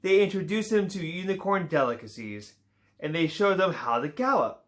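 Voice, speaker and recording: A man reading a children's picture book aloud, unhurried and informal. He stumbles now and then and drops in playful asides, and his pitch rises and falls a great deal as he tells the story. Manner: relaxed reading with small slips and playful asides.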